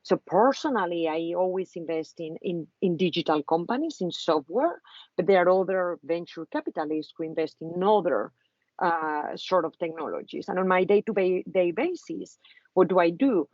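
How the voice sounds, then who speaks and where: A woman's voice: on the low side, moderate pace, darkish tone, no echo. A woman from Spain with a Spanish accent, speaking as a guest on an online talk for students about her venture capital work, with many fillers and restarts. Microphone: video call. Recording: muffled video call audio.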